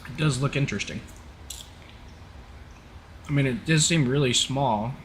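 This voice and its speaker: A man's voice: medium pitch, measured pace. A man from the southern United States chatting casually and teasingly while live-streaming a flight simulator. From southern United States (Oklahoma). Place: voice chat on a game stream. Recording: steady background noise.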